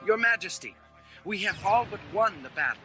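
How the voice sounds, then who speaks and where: A man's voice: high voice, animated, fairly quick, over loud music. A man playing a confident rebel officer giving formal reports in a space adventure audio drama.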